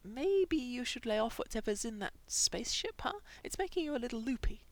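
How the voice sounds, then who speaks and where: A woman's voice: fairly high pitch, sing-song, brisk, pitched above the performer's own voice. A woman voicing a nervous, sensible man turned hamster in a story, sounding anxious, incredulous and slangy. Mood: careful, concerned.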